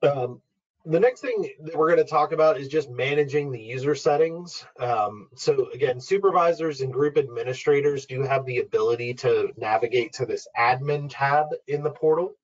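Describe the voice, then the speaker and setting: Male webinar trainer, informal and instructional, walking through software step by step. Mid-range pitch, slightly muffled lo-fi sound. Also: moderate pace. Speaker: webinar presenter, product trainer. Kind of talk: explanatory software walkthrough. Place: online webinar screen-share.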